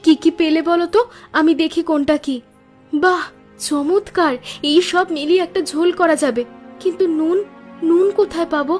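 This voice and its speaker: A kind village wife from a cartoon folk tale speaks in a clearly high voice. She is caring, practical and reassuring. Music plays underneath her. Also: fairly even intonation, moderate pace. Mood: excited, busy. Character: kind, resourceful village woodcutter's wife, young mother. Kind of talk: Bengali cartoon story voice acting.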